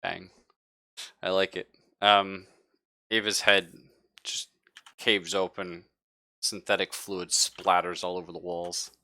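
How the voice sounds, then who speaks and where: A man's voice: deep voice, level tone, slow pace, long pauses, bright, forceful tone, dry recording, studio quality. A man narrating the action as game master of a tabletop roleplaying game. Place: live-streamed game session.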